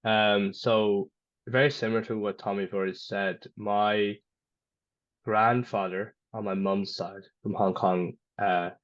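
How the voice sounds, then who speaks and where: A man's voice: lowish voice, fairly even intonation, a little slow. An Irish man in his twenties, a youth leader, giving casual interview answers. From Ireland. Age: 20s. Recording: roomy, slightly dull lo-fi sound.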